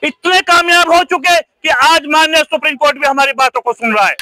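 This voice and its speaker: A man speaking at a protest rally, emphatic and rushing as he presses his point. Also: very high-pitched, pushed and bright, no echo.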